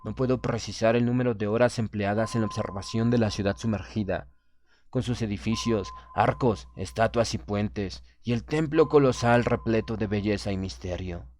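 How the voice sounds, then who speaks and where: A man narrating a literary horror audiobook in a solemn, measured, formal manner. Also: fairly low pitch, a little mellow, slight background noise. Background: background music.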